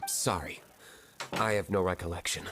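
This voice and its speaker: Man playing a low, aloof cold man. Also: moderate pace, over music, natural voice. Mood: cold, dismissive. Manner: curt, formal, dismissive. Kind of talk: video game voice acting.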